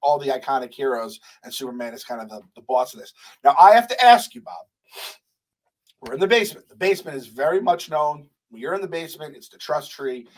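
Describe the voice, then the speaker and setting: Young guy hosting a podcast, kinda high voice, playful, swears a lot, close studio mic. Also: fairly quick, low-quality recording. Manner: animated, swearing, playful teasing. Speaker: podcast host. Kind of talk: casual chat. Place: studio room with poster wall. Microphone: large studio mic with headphones.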